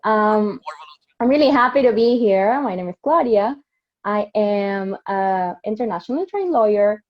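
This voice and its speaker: A woman's voice: medium pitch, animated, moderate pace. A woman in her thirties from Peru with a Peruvian Spanish accent, introducing herself as a webinar panelist. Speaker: panelist, lawyer. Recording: dull lo-fi webinar audio.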